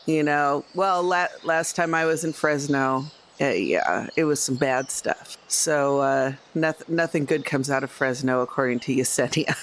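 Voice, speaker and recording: A woman's voice: deep voice, measured pace, few pauses, a little hiss or hum. A woman chatting casually and informally as a player in a tabletop role-playing game.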